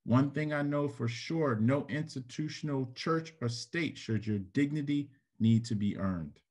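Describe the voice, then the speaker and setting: A man delivers a spoken word poem at a middling pitch. His phrasing is deliberate and rhetorical, with an earnest manner throughout. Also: a little mellow. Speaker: poet, educator and counselor. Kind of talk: spoken word poetry recital.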